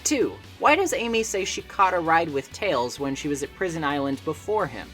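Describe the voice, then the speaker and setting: A woman with a low voice narrates a scripted video essay. Her intonation is lively and moves around a lot. She keeps it conversational, slipping in wry asides as she explains. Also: moderate pace, faint music behind.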